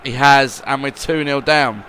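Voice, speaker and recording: A man's voice: mid-range voice, measured pace, slight background noise. A man giving rambling voice-over commentary on his football video game career, often repeating words. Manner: rambling, repeats words, swings between gloom and excitement.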